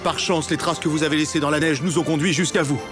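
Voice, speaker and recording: A man plays a fatherly cartoon moose chief with a fairly high male voice. He is solemn and reassuring, speaking formally over loud music. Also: fairly even intonation, fast talker, close to an everyday voice. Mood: relieved, grateful. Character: steady, fatherly adult male moose herd leader. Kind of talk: French cartoon dub acting.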